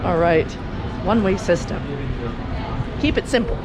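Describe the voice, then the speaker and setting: A woman giving casual, unhurried sightseeing commentary as a vlog host, chatting and musing aloud while she walks. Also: on the low side, animated, over loud music. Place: high viewing terrace and indoor rooftop garden. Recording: noisy handheld video with urban ambience.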